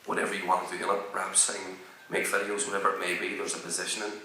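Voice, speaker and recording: A man's voice: low-pitched, little pitch movement, quick pace, sharp tone, a little hiss or hum. A young man, a rapper on a panel, speaking in a heartfelt, motivational, slightly rambling way. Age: young adult. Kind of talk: casual panel talk. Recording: echoey hall, mic far off.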